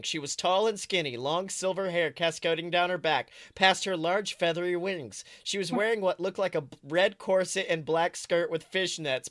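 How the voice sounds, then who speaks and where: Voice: medium pitch, fairly bright tone, no room sound. Podcast host with a dry, close sound. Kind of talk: casual chat and reading fan fiction aloud.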